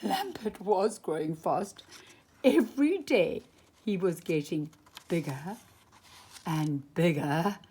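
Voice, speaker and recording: A woman storyteller reading a children's storybook aloud, expressive and emphatic, drawing out key words. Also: on the low side, slow, long pauses, slightly rough.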